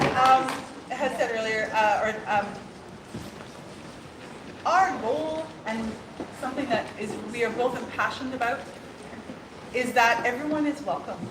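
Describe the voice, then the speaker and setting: A woman's voice: sits high, unhurried. Irish woman laughing through a welcome speech. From Ireland. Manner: warm, laughing, many fillers and restarts. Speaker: new princess opening a court. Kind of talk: ceremonial speech and casual exchange. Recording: echoey hall with background noise.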